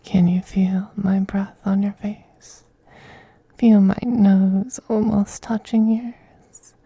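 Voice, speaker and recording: A performer voicing a seductive, possessive male femboy vampire in an intimate ASMR roleplay, whispering softly and coaxing in a teasing, gently domineering way. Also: mid-range voice, flat delivery, takes it slowly, no echo, dull audio. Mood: seductive, commanding. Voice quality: breathy, soft whispering.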